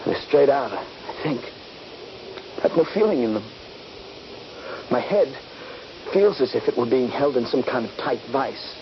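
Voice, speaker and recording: A muffled, noisy vintage radio drama recording carries an injured, trapped soldier. He tells of his ordeal with very lively, wide-ranging intonation, in the style of old radio acting. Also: medium pitch, measured pace, pauses often. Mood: dazed, strained. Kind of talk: radio drama acting and first-person narration.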